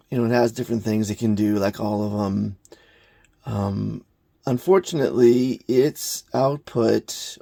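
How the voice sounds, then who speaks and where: A man giving an unscripted hands-on gadget review in a halting, plain-spoken, matter-of-fact way. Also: lowish voice, gravelly, dark tone, no room sound, clean studio sound. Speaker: product reviewer, hobbyist maker.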